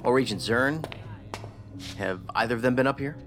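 An actor playing a terse, probing investigator in an audio drama, with music behind him. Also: male voice, mid-range voice, normal speed, a bit bright, close to an everyday voice. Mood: suspicious.

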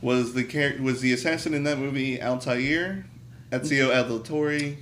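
A man's voice: medium pitch, normal speed, a bit bright, a little hiss or hum. Wry man chatting casually on a podcast. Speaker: podcast co-host. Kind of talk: casual, joking group chat.

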